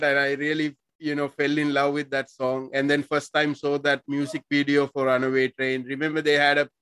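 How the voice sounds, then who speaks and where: A man's voice: medium pitch, fairly bright tone, smooth, no echo. A man from Sri Lanka hosting an interview, chatting casually and often giving short agreements. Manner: friendly, frequent short agreements. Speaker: podcast host.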